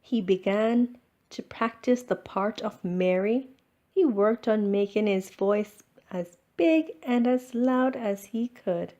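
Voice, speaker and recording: A woman reading a children's storybook aloud as scripted narration, expressive and drawing out words. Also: medium pitch, slow, muffled recording. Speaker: storybook reader.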